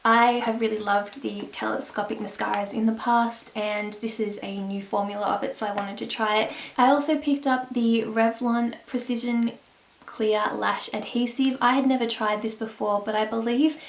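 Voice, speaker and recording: A young Australian woman talks through her shopping in a casual, chatty way, her voice croaky and gravelly from a lingering flu. She sounds eager about each product she picks up. The room around her is echoey. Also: a little high, fairly even intonation, moderate pace. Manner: chatty, enthusiastic product rundown. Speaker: beauty vlogger. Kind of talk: casual haul vlog.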